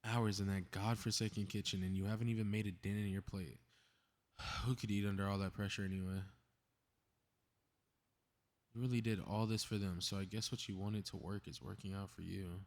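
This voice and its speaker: A man's voice: on the low side, steady tone, a little fast, lightly acted. A man acting a cocky, mischievous young male superhero in a scripted ASMR audio drama, muttering sarcastic, casually profane asides. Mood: wistful, sympathetic.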